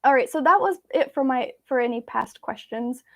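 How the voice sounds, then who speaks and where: A woman's voice: sits high, lively intonation, moderate pace. A woman from Belarus, a native Russian speaker, chatting casually and informally with viewers as she hosts a live Q&A. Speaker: vlog host.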